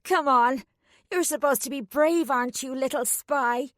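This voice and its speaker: A woman reading an audiobook voices a desperate young woman spirit whose voice is reedy, ragged and shrill. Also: a little slow, a bit bright, no echo, studio quality. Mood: taunting.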